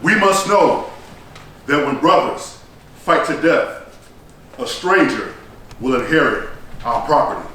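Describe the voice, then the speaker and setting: A man performing a theatrical monologue like a rousing speech, solemn and emphatic, with deliberate dramatic pauses. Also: a little high, expressive, bright, forceful tone. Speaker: stage performer delivering a monologue. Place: echoey performance space, recorded from a distance. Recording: roomy echoing hall, distant mic, faint noise.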